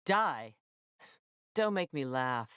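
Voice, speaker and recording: A woman's voice: a bass voice, sing-song, slow, a bit bright, clean tone, no echo, studio quality, full character voice. A woman playing a tough, commanding, foul-mouthed military officer in a video game, with a clipped, no-nonsense delivery. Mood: cold, threatening.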